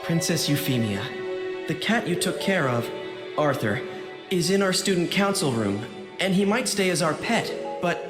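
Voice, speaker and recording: Woman acting a low-voiced, gentle teenage boy. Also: lively intonation, moderate pace, over music, natural voice. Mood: earnest. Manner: hesitant, polite. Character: gentle, earnest, modest teenage boy student. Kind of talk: anime dub audio drama acting.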